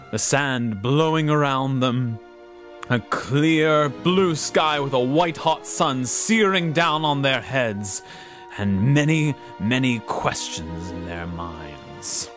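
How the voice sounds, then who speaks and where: A man playing a solemn, suspenseful narrator of an adventure serial, with drawn-out dramatic delivery. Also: mid-range voice, expressive, fairly bright tone, soft background music, voice pushed down.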